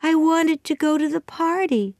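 Young girl character, acted by a woman, high voice, eager, earnest, pleading, storybook, lively swoops. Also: a little slow, no echo, clean studio sound. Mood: sad.

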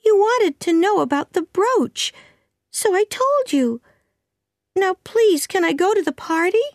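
Young girl character, acted by a woman, high voice, eager, earnest, pleading, storybook, lively swoops. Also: a little slow, no room sound, studio quality, full character voice. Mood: pleading, upset.

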